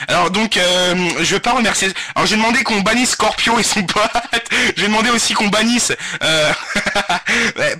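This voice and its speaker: Guy doing webradio prank show banter, high voice, really sharp and bright, slangy and crude, excitable. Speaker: webradio host and prank caller. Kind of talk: informal live radio prank-call show banter. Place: webradio studio.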